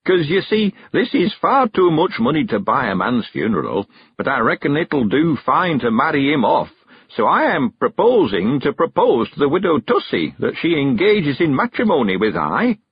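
A man's voice: huge pitch swings, breathy edge, dry recording, lo-fi sound, exaggerated voice. A man reading an audiobook, voicing a cheerful, stubborn ninety-one-year-old countryman with a rustic dialect. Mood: chatty. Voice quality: rustic dialect, quavery and high, drawn-out words.

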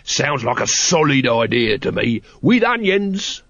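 A man's voice: medium pitch, big pitch swings, unhurried, a bit bright, dry recording, muffled recording. A man voicing a wheedling, whiny male street sausage seller in a storybook reading, with sing-song sales patter. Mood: hawking.

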